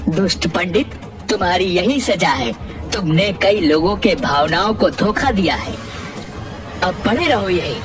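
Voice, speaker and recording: A woman's voice: fairly low pitch, lively intonation, a little slow, far from the mic, music in the background. A woman voicing a stern, righteous male village elder in a cartoon moral tale, solemn and admonishing. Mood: condemning.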